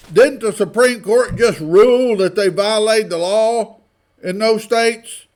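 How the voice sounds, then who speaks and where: High-voiced, indignant man giving commentary. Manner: indignant, blunt, folksy, rhetorical questions. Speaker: online commentator. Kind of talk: informal opinionated news commentary.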